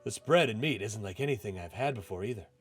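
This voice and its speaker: A man's voice: on the low side, expressive, fast. A reserved young man from a visual novel, acted out by a male reader. He talks politely and a little hesitantly, keeping things understated. There is music behind him. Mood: impressed.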